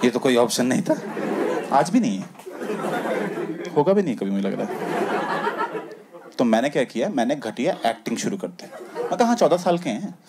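A young man doing stand-up storytelling on a comedy club stage with a handheld mic. He acts out the scenes, dropping low for asides and jumping high for the punchlines. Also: very animated, moderate pace, pauses often, over a noisy crowd, heavy background noise. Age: young adult. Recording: live club audio with loud audience laughter.

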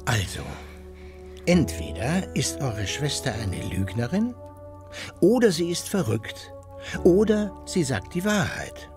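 A male professor in an audio drama, with a very animated intonation. He is logical and probing, speaking deliberately over faint music. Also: a little high. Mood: reasoning. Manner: deliberate, rhetorical. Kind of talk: German audio drama acting.

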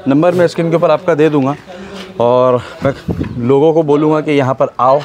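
A man's voice: fairly high pitch, fairly even intonation, fairly quick, a little mellow. A man vlogging casually at an outdoor livestock farm, chatting and asking the seller one question after another. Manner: chatty, asks many follow-up questions. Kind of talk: casual on-location vlog chat. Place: outdoor goat farm yard.

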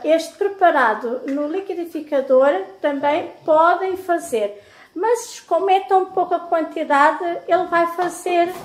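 A middle-aged woman with a European Portuguese accent chatting casually and affectionately to her viewers as a home vlogger. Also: very high-pitched. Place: home kitchen, indoors. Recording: echoey domestic kitchen.

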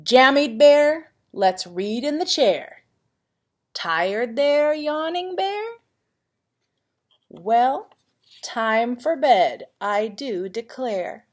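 A woman's voice: fairly high pitch, animated, extremely slow, long pauses. A woman reading a children's picture book aloud in a playful, dramatic manner, with chatty asides to young listeners. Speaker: elementary school vice principal reading to pupils.

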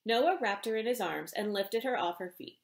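Expressive woman reading aloud, roomy sound. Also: mid-range voice, moderate pace, vocal fry. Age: middle-aged. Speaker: author reading from own novel. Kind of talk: expressive read-aloud narration of a romance novel. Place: indoor room with bookshelves. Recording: roomy indoor echo.

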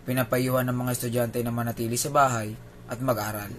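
A man's voice: medium pitch, level tone, fast, a little hiss or hum. A man with a Filipino accent hosting a video, reading a scripted news explainer. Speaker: video host.

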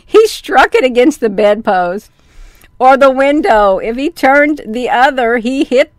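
A middle-aged woman reads a children's story aloud in a fairly high voice. She takes her time and drops in folksy, amused asides. The sound is close and dry, from a desk microphone. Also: animated, a little slow, no echo. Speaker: storyteller, host. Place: small room indoors. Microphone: large desk microphone up close.

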